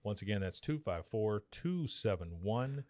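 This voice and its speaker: A man's voice: on the low side, expressive, measured pace, warm tone, no echo. A man co-hosting a podcast, speaking in a casual, conversational style to listeners. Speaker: podcast co-host.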